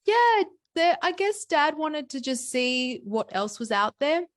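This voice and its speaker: A woman in her forties with an Australian accent, a podcast guest talking about her background in a relaxed, storytelling way with frequent fillers. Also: high voice, measured pace. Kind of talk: conversational interview.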